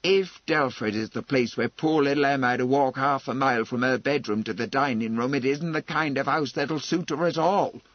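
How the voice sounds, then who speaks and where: A man voicing an indignant, protective middle-aged woman who scolds bluntly and forcefully in a novel reading. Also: mid-range voice, very slow, few pauses, dry recording, lo-fi sound. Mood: wrathful.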